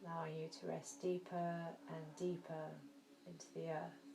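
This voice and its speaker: A woman teaching yoga nidra, guiding a relaxation meditation in a soft, soothing, gentle manner with long pauses. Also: sits low, level tone, vocal fry. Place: indoor room. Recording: roomy echo, faint background noise.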